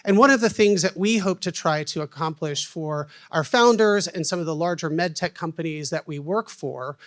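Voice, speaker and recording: A man's voice: a little high, normal speed, fairly bright tone. A man in his forties with a Southern American accent, moderating a conference panel in a folksy, self-deprecating way with plenty of fillers. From United States.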